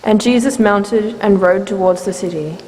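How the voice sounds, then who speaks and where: A woman's voice: mid-range voice, moderate pace, darkish tone. Woman narrating scripture in an echoing church hall. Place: large church, live reading. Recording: reverberant church hall, faint noise.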